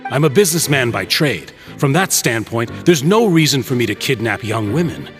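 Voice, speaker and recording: An actor playing a patronizing middle-aged businessman villain in a game, measured and theatrical, feigning fatherly hurt. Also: medium pitch, very animated, faint music behind, lightly acted. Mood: self-justifying. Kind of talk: dramatic game cutscene acting.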